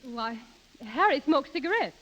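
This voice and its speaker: Lo-fi 1930s radio drama, patient young daughter, high quick voice, gentle, placating. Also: female voice, lively intonation. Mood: placating, strained. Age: young adult. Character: patient, dutiful grown married daughter. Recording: dull, lo-fi 1930s radio recording.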